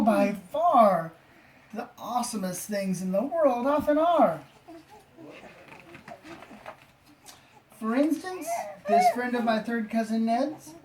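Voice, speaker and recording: Woman playing a cheeky schoolboy, unhurried and echoey. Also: a little high. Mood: breezy, boastful. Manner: unfazed, earnest, boastful. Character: cheeky imaginative schoolboy spinning confident tall tales. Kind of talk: storybook character reading. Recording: echoey, distant, faint background noise.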